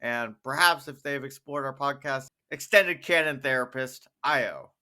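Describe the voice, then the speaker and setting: A man with a mid-pitched, very bright voice co-hosts a podcast. His commentary is casual and conversational, delivered with dry, wry humour. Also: a little slow. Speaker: podcast co-host.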